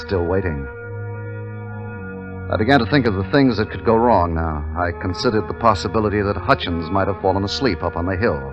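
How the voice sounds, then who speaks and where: An actor playing a weary, tense wartime army captain who also narrates, in 1950s radio drama style. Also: male voice, sits low, a little fast, close to an everyday voice. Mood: tense, grim narration. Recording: muffled vintage 1950s radio recording, music behind.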